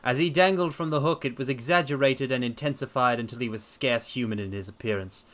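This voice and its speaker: A man's voice: medium pitch, fairly quick, a bit bright. A man reading a classic detective story aloud as dramatic narration.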